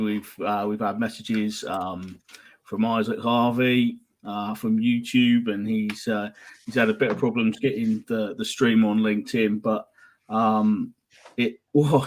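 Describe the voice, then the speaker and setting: Man, podcast host, lower voice, meandering questions, lots of fillers. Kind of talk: casual interview chat.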